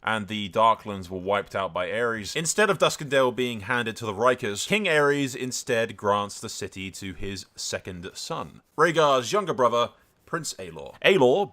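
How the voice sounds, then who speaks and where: A man hosting a gaming video, giving casual, excitable and sweary commentary with some roleplay storytelling. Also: on the low side.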